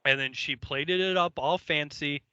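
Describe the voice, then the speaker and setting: A man co-hosting a podcast, giving a casual episode recap in a halting, hesitant, foul-mouthed way. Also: a little high, pushed and bright, clear, resonant tone, no echo, muffled recording. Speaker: podcast co-host.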